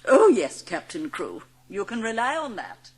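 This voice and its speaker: A woman's voice: on the high side, very animated, unhurried. A woman playing a formal, bossy, snobbish headmistress of a girls' school in an audiobook drama. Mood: gushing.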